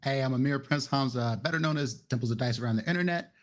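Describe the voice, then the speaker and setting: A man talks as a player in a tabletop roleplay game. His delivery is casual and his voice sits at an average pitch for a man. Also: quick pace. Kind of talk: casual chat.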